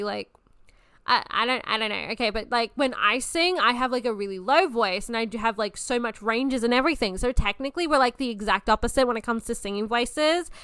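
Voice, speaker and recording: A woman's voice: fairly high pitch, sharp tone, clean tone, dry recording, studio quality. A woman in her twenties hosting a podcast, talking in a casual, rambling and gushing way, swearing often. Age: 20s. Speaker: podcast host. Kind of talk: casual monologue.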